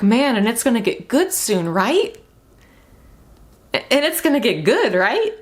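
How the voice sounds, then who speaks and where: A young woman giving a casual book review as a vlogger, speaking in an informal, rambling and emphatic way. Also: mid-range voice.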